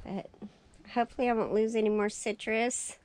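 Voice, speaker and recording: A woman walking through her greenhouse, chatting cheerfully about her seedlings, her voice raspy and tired from lack of sleep. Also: a little high, level tone, moderate pace, a little mellow. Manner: chatty, cheerful, thinking aloud. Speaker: farm vlogger. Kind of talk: casual walkthrough narration. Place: small greenhouse, indoors.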